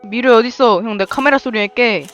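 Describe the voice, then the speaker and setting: A young man chattering excitedly and swearing as he plays a game with a friend, prone to shouts and startled yelps. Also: very high-pitched, brisk, dull audio, soft background music. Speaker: gamer playing with a friend.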